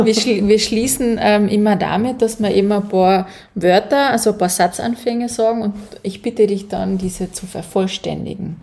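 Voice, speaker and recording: A woman's voice: medium pitch, fairly even intonation, moderate pace, gravelly, few pauses, dark tone. A woman interviewing a guest, asking questions and giving encouraging replies. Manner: encouraging, affirming. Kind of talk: casual interview.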